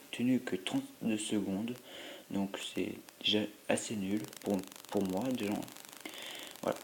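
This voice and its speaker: A man's voice: lowish voice, a little hiss or hum, rough recording. A man with a cold, tired after a sleepless night, giving rambling, hesitant commentary while playing a mobile game. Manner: rambling, hesitant, full of filler sounds. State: has a cold, stuffy. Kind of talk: gameplay commentary.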